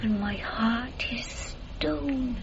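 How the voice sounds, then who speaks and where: Female, jilted period servant in radio drama: entranced, menacing, extremely slow delivery, breathy near-whisper, muffled noisy recording. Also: fairly high pitch. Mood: vengeful, entranced. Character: spurned, vengeful young servant woman in trance. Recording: dull radio recording, background noise.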